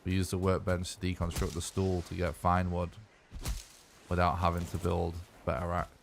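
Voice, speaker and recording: A man streaming a game and chatting casually, rambling as he thinks aloud, his voice going a bit hoarse. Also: low-pitched, moderate pace, slight background noise. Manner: rambling, relaxed, thinks aloud while playing. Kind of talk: casual live-stream gaming chat.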